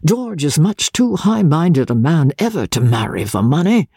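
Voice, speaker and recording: A man voicing a shy, earnest young army captain who speaks gravely and respectfully to an older man. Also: on the high side, very animated, moderate pace, dark tone, no echo, studio quality, pitched above the performer's own voice, heavily acted.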